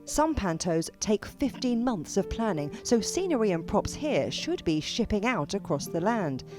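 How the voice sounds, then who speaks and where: Lively woman narrating a news feature. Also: medium pitch, normal speed, music in the background. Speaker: television news reporter.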